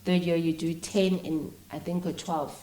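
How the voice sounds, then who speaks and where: A woman's voice: on the low side, fairly even intonation, moderate pace, mellow. A South African woman speaking as an interview guest, explaining things in a casual, conversational way and switching between English and local vernacular. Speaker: interview guest, actress and filmmaker.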